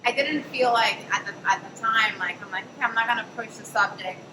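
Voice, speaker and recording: A woman's voice: a little high, fairly quick. Woman host, chatty storyteller, heard from a distance in a noisy, echoey café. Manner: chatty, many fillers and "I'm like". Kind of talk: casual anecdotal storytelling at a panel. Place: café event space.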